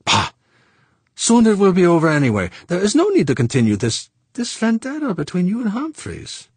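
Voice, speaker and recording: A man voicing an excitable older man, raised and exclaiming, in an audiobook. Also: sits high, moderate pace, husky, no room sound, voice pushed up, full character voice. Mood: heated.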